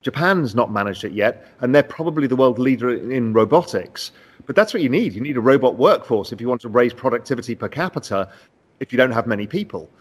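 A man's voice: mid-range voice, fast talker. Guy breaking down the economy, confident, punchy, leans on key points. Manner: confident, explanatory, animated emphasis. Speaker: macro analyst in a finance interview. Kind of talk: conversational market discussion.

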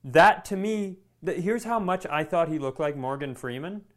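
A man with a slightly high voice chats as a comedy podcast co-host. He tells stories in an animated, self-deprecating way and swears freely as he goes. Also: moderate pace. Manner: animated storytelling with profanity. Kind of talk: casual anecdotal chat.

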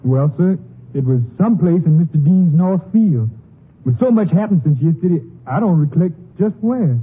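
An actor playing a frightened, deferential farmhand held prisoner in a 1950s radio western, folksy, with a Southern US accent. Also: male voice, on the high side, lively intonation, moderate pace, husky, phone-quality sound. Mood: earnest, folksy. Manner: humble, folksy, respectful. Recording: thin, band-limited 1950s radio recording.

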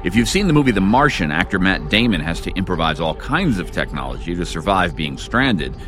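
A man's voice: low voice, very animated, brisk, over music. An American man hosting a radio show with scripted narration in a studio. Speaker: radio host.

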